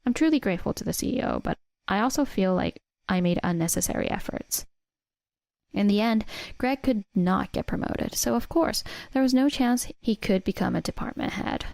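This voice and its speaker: Female narrator for first-person drama stories: measured read, mid pitch, creaky edge, very dry sound. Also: darkish tone, dry recording. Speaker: story narrator.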